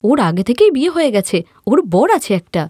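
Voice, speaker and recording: A woman's voice: high-pitched, very animated, a little fast, darkish tone, slightly rough, dry recording, clean studio sound, voice pushed up, full character voice. A woman voicing an arrogant, scheming young sister-in-law in a cartoon story, with an accusing, melodramatic, taunting manner. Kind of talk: Bengali cartoon story voice acting.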